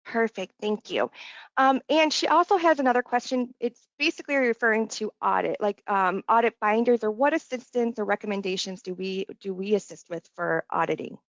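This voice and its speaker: A woman with a hoarse voice from illness reads audience questions in a slow, apologetic way on a webinar call. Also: medium pitch, dry recording. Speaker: co-presenter reading audience questions. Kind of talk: casual webinar Q&A. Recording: dull lo-fi webinar audio.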